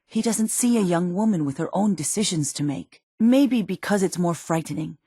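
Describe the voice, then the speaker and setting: An actress playing a worried, protective middle-aged mother in a post-apocalyptic video game, speaking earnestly and emotionally, with halting phrases. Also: lowish voice, expressive, mellow, slightly rough, dry recording, clean studio sound. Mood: worried, reflective. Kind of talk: dramatic video game voice acting.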